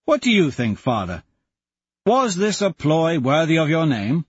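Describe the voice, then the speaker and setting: Guy doing a nasty smirking young lord, higher than his normal voice, husky. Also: very animated, measured pace, no echo, dull audio, full character voice. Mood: mocking. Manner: mocking, cold, scornful. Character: cruel sneering young male nobleman.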